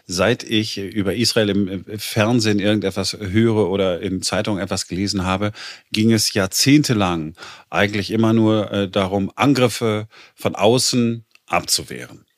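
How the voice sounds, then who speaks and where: A man in his fifties hosts a conversational interview. His voice sits somewhat low, and the recording is dry, with hardly any room around it. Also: steady tone, moderate pace, dry recording. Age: 50s. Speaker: interviewer, host.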